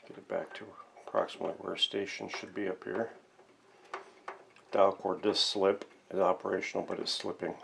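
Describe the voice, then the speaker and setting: A man's voice: low voice, level tone, normal speed, creaky, fairly bright tone, slight background noise. A man in his sixties giving a casual, plainspoken hobby workshop vlog in a matter-of-fact way. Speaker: host of a vintage radio restoration channel. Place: cluttered indoor home workshop.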